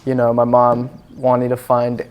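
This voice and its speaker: A man's voice: mid-range voice, monotone, measured pace, clean tone, muffled recording. Earnest rambling young man, interview guest. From Singapore. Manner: earnest, rambling, with many fillers. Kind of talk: casual interview chat.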